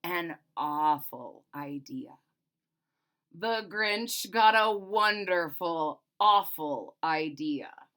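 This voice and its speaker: A woman reading a children's storybook aloud in an expressive, emphatic way, with simple explanatory asides for young listeners. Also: fairly low pitch, very slow, smooth.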